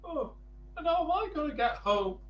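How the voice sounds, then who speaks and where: A man's voice: extremely high pitch, sing-song, moderate pace, reverberant, dull audio, a little hiss or hum, pitched above the performer's own voice. A man voicing a small, cheerful, chirpy little storybook man. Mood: worried.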